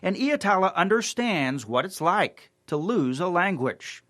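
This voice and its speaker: A man's voice: high voice, big pitch swings, unhurried, bright, forceful tone. A man narrating a local TV news feature in a scripted reporter style.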